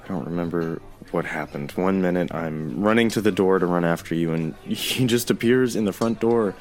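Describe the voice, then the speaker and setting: An actor playing a remorseful young man pleading with his ex-boyfriend in an audio drama, halting and earnest. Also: low voice, a little mellow, faint music behind, natural voice. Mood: ashamed, hushed.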